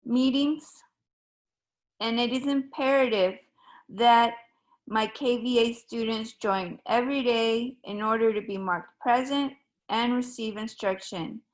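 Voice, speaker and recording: A woman teacher from Ecuador presents her classes at a slow, deliberate pace. Her voice sits a little high, and she talks formally, pausing with an occasional um. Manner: slow, measured and formal, with frequent ums. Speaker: high school Spanish teacher. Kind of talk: recorded open house presentation.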